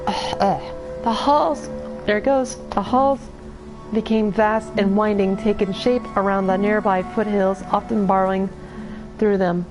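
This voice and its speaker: A woman's voice: medium pitch, low-quality recording. Woman losing her voice reading game lore. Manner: halting reading with many restarts and fillers. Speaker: gameplay streamer. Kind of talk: reading in-game lore aloud while playing.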